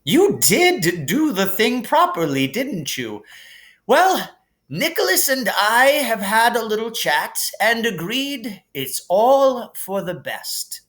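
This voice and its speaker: A man voicing a kindly, whimsical elderly wizard headmaster in a storybook reading, with calm, gently formal phrasing. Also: sits high, slow pace, pitched above the performer's own voice, heavily acted. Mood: delighted. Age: older. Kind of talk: audiobook character reading.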